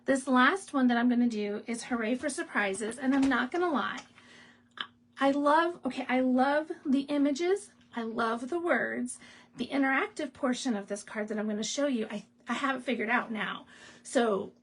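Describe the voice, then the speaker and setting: A woman hosting a live stamping demo, raspy and clearing her throat from asthma, chatting in a rambling way with laughs and asides. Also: high-pitched, normal speed. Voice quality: gravelly, husky. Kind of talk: casual live craft demo chat.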